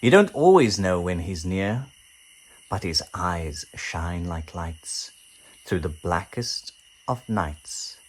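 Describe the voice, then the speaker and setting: A man reading a children's picture book aloud in an animated, theatrical way, drawing out key words. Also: low-pitched, takes it slowly, slight background noise, rough recording.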